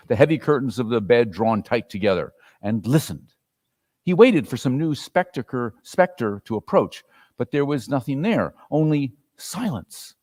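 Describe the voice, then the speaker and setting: A man's voice: medium pitch, darkish tone, in a large hall. A man narrating a classic story as a dramatic reader.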